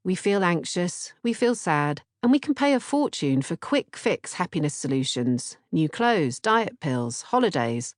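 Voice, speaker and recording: A woman's voice: on the low side, big pitch swings, unhurried, hardly any pauses, no echo, clean studio sound. A woman narrating an audiobook from a script. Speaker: author-narrator reading audiobook, podcast co-host.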